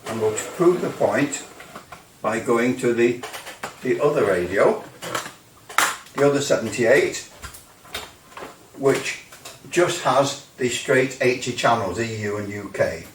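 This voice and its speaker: A man's voice: medium pitch, slow pace, long pauses. A man giving a casual hands-on demonstration of radio equipment, rambling and hesitant with grumbling asides. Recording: roomy, distant mic, faint noise.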